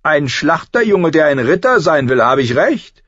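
A man's voice: high voice, big pitch swings, a little slow, no echo, lo-fi sound, pitched above the performer's own voice, heavily acted. A man voicing an arrogant, cruel teenage prince in an audiobook, haughty and commanding. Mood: taunting, commanding.

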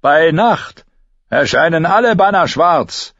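A man's voice: on the high side, expressive, slow, fairly bright tone, no room sound, muffled recording, voice pushed up, full character voice. A man voicing an aggressive armored knight in an audiobook, commanding and menacing. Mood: threatening.

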